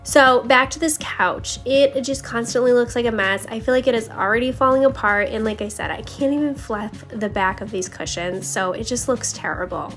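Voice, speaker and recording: A woman's voice: a little high, moderate pace, few pauses, fairly bright tone. A woman giving a casual, confiding voice-over narration as a home vlogger, talking straight to viewers with a slightly scratchy voice. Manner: chatty, confiding, addresses viewers directly. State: slightly scratchy voice, needs to clear throat. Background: background music.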